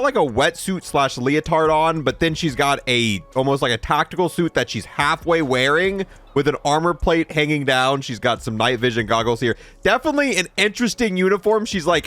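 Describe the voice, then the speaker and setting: A man's voice: fairly high pitch, fairly bright tone. A man reviewing a video game in an informal, rambling way, thinking aloud as he comments.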